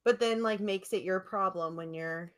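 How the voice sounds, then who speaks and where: A woman's voice: mid-range voice, normal speed, fairly bright tone. A woman co-hosting a podcast in a casual chat, talking in a rambling, teasing way. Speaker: podcast co-host.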